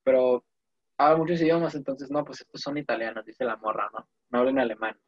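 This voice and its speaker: A man's voice: medium pitch, a little fast, no echo, lo-fi sound. Young Mexican man, slangy and digressive. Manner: slangy, digressive, animated storytelling. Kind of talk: casual chat.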